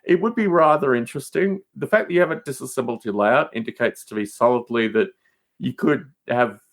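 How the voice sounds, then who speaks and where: A man's voice: medium pitch, a little fast. A man chatting casually as a podcast guest, teasing, opinionated and emphatic in how he talks.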